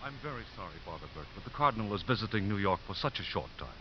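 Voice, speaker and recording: A man's voice: fairly low pitch, lively intonation, very fast, over loud music, natural voice. A man playing a deferential aide to a Cardinal, polite and official, in a radio drama. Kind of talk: 1950s radio acting. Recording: very hissy, dull 1950s radio recording.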